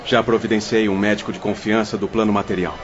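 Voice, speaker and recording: A man playing an alert, obedient spirit helper in an audio drama, brisk and deferential. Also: fairly low pitch, little pitch movement, muffled recording, a crowd in the background, close to an everyday voice. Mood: urgent.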